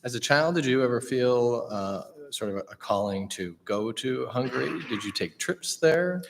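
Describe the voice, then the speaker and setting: Gravelly low interviewer in a hall. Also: male voice, lively intonation, moderate pace, slight background noise. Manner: curious, gentle questioning with short backchannels. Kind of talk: conversational interview. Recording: long reverb of a large room.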